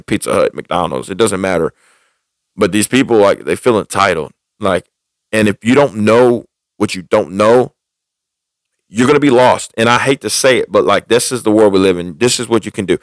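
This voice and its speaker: Guy podcaster w kinda low voice, dry sound, casually ranting about his own stuff, repeats himself a lot for emphasis. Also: no echo. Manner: repetitive, emphatic, with restated phrases. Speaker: podcast host. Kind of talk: casual personal storytelling and venting.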